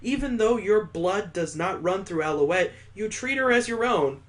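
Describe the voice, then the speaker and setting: A man's voice: high voice, lively intonation, moderate pace. A man voicing a friendly, admiring family friend in a visual novel, sincere and good-natured.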